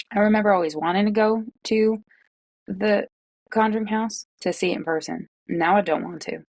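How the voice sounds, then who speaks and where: A young woman from the with a gravelly, fry-edged voice that sits on the low side, talking in a casual vlog. She rants with incredulous, exasperated asides. The recording is dry and close. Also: no echo. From Massachusetts, North Shore of Boston. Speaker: true crime YouTuber.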